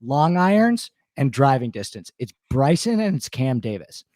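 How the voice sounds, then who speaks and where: A man with a slightly hoarse voice, eagerly talking stats and picks as a guest in a casual interview. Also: mid-range voice, very animated, normal speed, darkish tone, no room sound, studio quality. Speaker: guest sports betting analyst.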